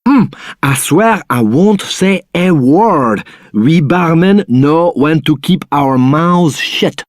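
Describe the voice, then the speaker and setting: A man's voice: a little high, animated, slow pace, no echo, studio quality. A man playing a nosy, easily shocked barman in a video game, with a theatrical, gossip-hungry manner. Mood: eager, conspiratorial. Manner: theatrical, gasping, eager for gossip. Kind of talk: video game dialogue acting.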